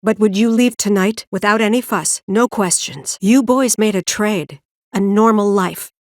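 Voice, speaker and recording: Actress doing a tired middle-aged mom from a video game, guarded but tender, measured, super dry close sound. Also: female voice, mid-range voice, darkish tone, dry recording, close to an everyday voice. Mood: guarded, stern. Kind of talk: naturalistic video game voice acting.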